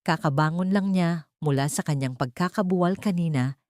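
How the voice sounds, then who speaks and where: Female narrator for dramatic fiction audio story, lower register, very dry recording. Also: normal speed, a little mellow, dry recording.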